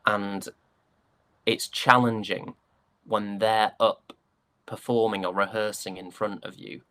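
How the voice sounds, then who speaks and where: A young man with a British accent speaks in a low voice, close and dry. He answers conversationally as an interviewee, thoughtful and hesitant, feeling his way toward each point. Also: little pitch movement, takes it slowly, a bit bright, no echo. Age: young adult. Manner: thoughtful, hesitant, searching for words. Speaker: stage actor being interviewed.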